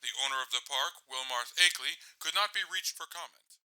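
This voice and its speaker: A man's voice: fairly high pitch, a little fast, bright, forceful tone, clear, resonant tone, low-quality recording. A man playing a serious, formal news anchor delivering scripted breaking coverage of a disaster. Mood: grave.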